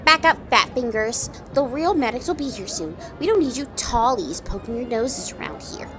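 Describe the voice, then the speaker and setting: A woman playing a brash, sharp-tongued goblin in a fantasy audio drama, blunt and scornful. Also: fairly high pitch, animated, normal speed, music in the background, close to an everyday voice. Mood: scornful, impatient.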